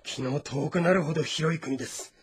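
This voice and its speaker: An astute adviser to a warlord in a historical game. He speaks politely and with reason in a husky, slightly high male voice over faint music. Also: normal speed. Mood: measured counsel. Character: astute, courteous male strategist advising his lord. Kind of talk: dramatic Japanese historical game voice acting.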